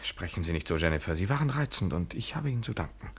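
An actor playing a coolly courteous, domineering and evasive lover in a 1970s German radio drama, with measured, deliberate phrasing. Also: male voice, deep voice, very animated, breathy edge, heavily acted. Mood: awkwardly courteous.

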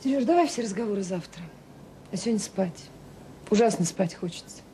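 An actress playing a devoted, gently bossy middle-aged mother in a naturalistic radio drama. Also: medium pitch, animated, brisk, a little hiss or hum, close to an everyday voice. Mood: tired, resigned.

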